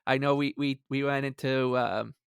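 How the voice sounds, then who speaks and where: Male co-host, mid-pitched smooth voice, dry close recording, casual, wry and stumbling over starts. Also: steady tone, moderate pace, dry recording. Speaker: podcast co-host. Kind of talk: casual chat.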